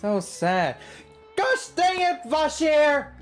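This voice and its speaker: A woman's voice: sits high, big pitch swings, very slow, soft background music. A woman livestreaming a game, giving casual exclamatory reactions and reading dialogue aloud. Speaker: streamer. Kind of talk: live gaming commentary, casual reactions.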